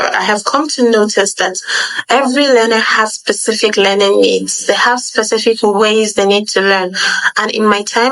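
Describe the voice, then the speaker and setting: A woman's voice: medium pitch, a bit bright, low-quality recording. A Nigerian woman, an online English tutor, giving a welcoming scripted self-introduction.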